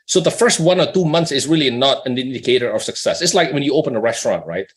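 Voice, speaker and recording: A man's voice: medium pitch, lively intonation, quick pace. Conversational male company executive explaining things. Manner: conversational, checks in with "right?" and rhetorical questions. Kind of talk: explanatory business talk on an earnings call.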